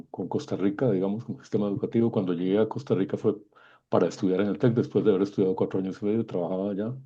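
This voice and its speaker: A man in his sixties from Guatemala with a husky voice, commenting informally as an event moderator. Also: fairly low pitch, mellow. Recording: dull, muffled lo-fi connection.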